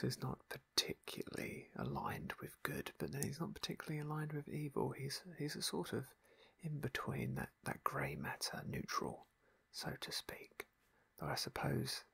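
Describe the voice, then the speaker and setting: An ASMR streamer whispering softly and hesitantly through a roleplay game playthrough. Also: medium pitch, vocal fry, slightly rough, no room sound. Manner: soft unvoiced whisper, gentle and hesitant.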